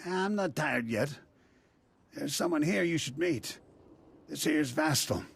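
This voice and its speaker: An actor playing a dour, seasoned desert militia leader in a video game, commanding and plainspoken. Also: male voice, on the high side, animated, takes it slowly, creaky, husky, exaggerated voice. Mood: gruff approval.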